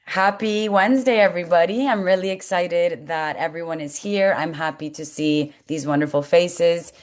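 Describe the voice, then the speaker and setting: Woman w/ lowish voice hosting an online webinar, encouraging, semi formal. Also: fairly even intonation, moderate pace, faint music behind. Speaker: host, college coaching staff.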